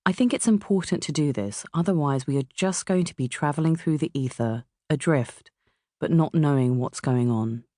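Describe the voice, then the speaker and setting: A middle-aged woman from England with a southern English accent reads a memoir as its author, in a measured, expressive way. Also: low-pitched, no room sound. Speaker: author narrating own audiobook. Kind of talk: scripted memoir narration. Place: dry recording studio.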